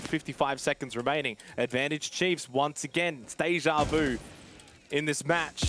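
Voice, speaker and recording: High-voiced energetic male esports caster. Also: background noise. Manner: energetic, builds to excited shouting on big plays. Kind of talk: play-by-play esports commentary.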